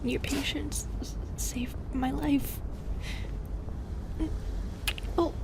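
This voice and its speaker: Woman acting a very high-voiced, anguished young-sounding medusa. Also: little pitch movement, background noise. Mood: hushed, remorseful. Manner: halting, emotional, pleading delivery. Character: anguished, remorseful female medusa, tender and fragile. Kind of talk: intimate audio roleplay acting.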